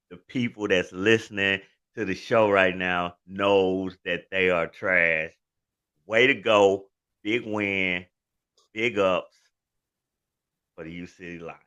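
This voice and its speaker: A man's voice: low voice, fairly even intonation, takes it slowly, sharp tone, smooth. A man co-hosting a podcast, brash and trash-talking as he banters about fantasy football. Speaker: podcast co-host. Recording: dull lo-fi mic.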